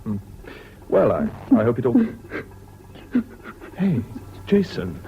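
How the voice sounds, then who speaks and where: An actor playing a mild, concerned English army officer, polite and gentle, in a 1970s British radio drama. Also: male voice, mid-range voice, wildly swooping pitch, slow pace, creaky, full character voice. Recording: dull, noisy old radio recording.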